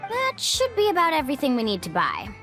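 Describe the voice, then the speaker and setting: A young, friendly adventurer from a video game. The voice is high and very animated, with an upbeat, casual, coaxing manner. Light music runs underneath. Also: female voice, fast, exaggerated voice. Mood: breezy, friendly. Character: breezy, friendly young woman adventurer and guide. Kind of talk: English video game voice acting.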